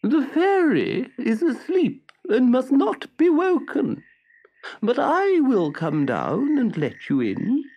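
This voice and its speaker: A man voicing an unhurried female snail in a storybook, drawing out her words very slowly. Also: very high voice, sing-song, breathy edge, dull audio, voice pushed up, exaggerated voice. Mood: slow, unruffled. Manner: drawn-out, very slow delivery. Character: slow, unhurried female snail servant of a fairy.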